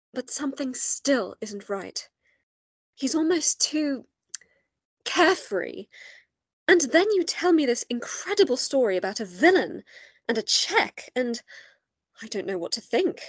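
A woman's voice: fairly high pitch, slightly rough, dry recording, exaggerated voice. A woman playing a genteel, earnest and worried lady in a radio play, speaking formally and a little hesitantly.

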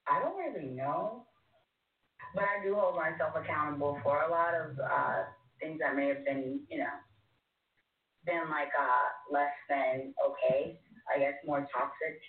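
Low-voiced woman on a tinny phone. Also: a little slow. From born in North Sudan, moved to the States at six. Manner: hesitant, thoughtful, frequent "like". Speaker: caller to a talk show. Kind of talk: casual, reflective conversation. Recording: tinny, roomy phone line, distant.

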